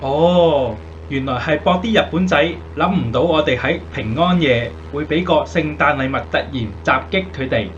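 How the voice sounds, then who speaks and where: Actor as dutiful navigator, muffled with hum. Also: male voice, on the high side, moderate pace, few pauses, lightly acted. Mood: brisk, dutiful. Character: dutiful male navigator reporting to his captain. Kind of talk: Cantonese radio drama acting.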